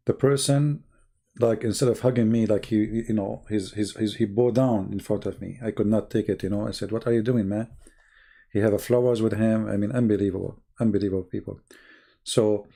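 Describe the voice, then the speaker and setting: A man who speaks English as a second language, chatting casually and telling stories on a live stream in a halting, rambling way, often clearing his throat. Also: lowish voice, dark tone. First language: not English.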